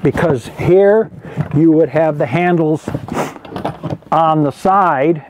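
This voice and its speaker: A man in his seventies giving an informal show-and-tell as an archivist, speaking in a halting, self-correcting, explanatory way. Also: on the high side, darkish tone, no room sound. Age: 70s. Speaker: archivist presenting a collection. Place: indoor archive room with packed shelves. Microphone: lapel mic.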